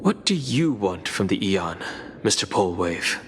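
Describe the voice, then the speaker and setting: A man's voice: low-pitched, sing-song, a little slow, long reverb tail, slight background noise. An actor playing a polite, wary male assassin in a game, formal and questioning.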